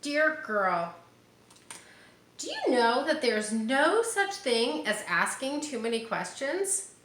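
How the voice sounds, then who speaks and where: A woman's voice: sits high, sing-song, takes it slowly, a bit bright. A woman reading a picture book aloud to children, speaking to them directly and encouragingly with casual asides. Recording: roomy echo, faint background noise.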